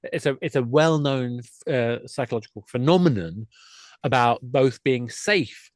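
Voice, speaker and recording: Male guest, mid-pitched, enthusiastic and wandering explainer in relaxed conversation, recorded dry. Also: normal speed, no room sound. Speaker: podcast guest, games expert.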